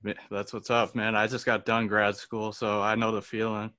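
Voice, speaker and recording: A young man hosts a laid-back, informal interview. His voice sits slightly low but has a bright, forceful edge. He phrases things casually, with plenty of slang. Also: level tone, moderate pace. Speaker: interviewer, host.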